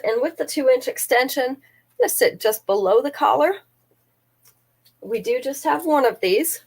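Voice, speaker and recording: Woman, slightly high voice, stuffy nose, chatty live seller, detailed descriptions, close camera mic. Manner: chatty, careful item-by-item descriptions.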